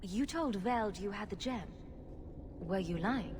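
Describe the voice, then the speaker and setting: A woman's voice: medium pitch, animated, slow, long reverb tail, noisy background. An actress playing a wry, sarcastic female rogue adventurer in a fantasy video game, with a dry delivery. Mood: dry, teasing. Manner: dry, flippant.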